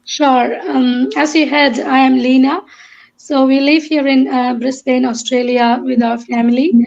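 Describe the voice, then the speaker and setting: A woman's voice: high voice, steady tone, measured pace, gravelly, a little mellow. A woman from Kerala with a Malayali Indian accent, a native Malayalam speaker, giving a hesitant personal account in short phrases as an interview guest on a lo-fi online call. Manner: hesitant, piecing thoughts together in short phrases.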